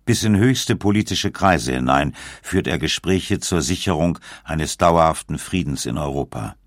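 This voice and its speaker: A man reading scripted documentary narration in a measured manner, with dramatic pauses. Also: very deep voice, animated, no room sound, clean studio sound.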